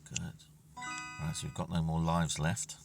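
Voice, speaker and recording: Man, very low gravelly voice, casual gamer commentary, muttering to himself, distant mic, loud music behind. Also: level tone, unhurried. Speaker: gamer narrating his own play.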